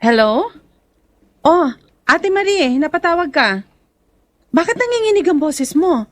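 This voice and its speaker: A woman playing a suspicious, impatient and sharp-tongued wife in a melodramatic radio drama. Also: very high voice, very animated, unhurried, a little hiss or hum, full character voice. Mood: alarmed.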